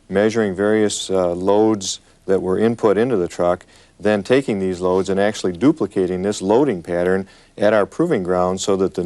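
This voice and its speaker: A man's voice: fairly low pitch, a little mellow. An American man speaking as an engineer in a panel discussion, explaining his work with emphatic repetition. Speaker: truck engineer. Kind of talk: panel discussion about product development.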